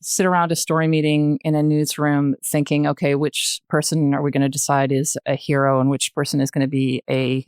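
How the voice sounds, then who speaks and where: A woman's voice: sits low, flat delivery, darkish tone, clean tone, no room sound. Older Canadian woman, very dry close sound. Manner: hesitant, thoughtful, pausing often mid-sentence. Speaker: podcast guest, retired journalist. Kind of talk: reflective conversational interview.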